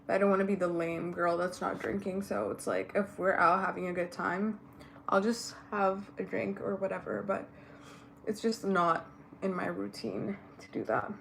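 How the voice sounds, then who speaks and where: A woman's voice: medium pitch, fairly even intonation, normal speed, gravelly, slight background noise, poor audio. Chatty young woman from Ethiopia. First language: not English. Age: young adult. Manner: chatty and candid, many fillers. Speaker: vlogger. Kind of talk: casual get-ready-with-me chat.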